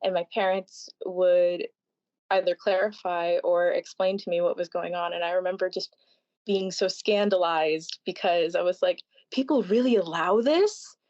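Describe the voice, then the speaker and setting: Lower-voiced young woman, dull recording. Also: normal speed, no room sound. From Florida, United States. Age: 20s. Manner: thoughtful, with fillers and soft laughs. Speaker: guest. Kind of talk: casual interview answers.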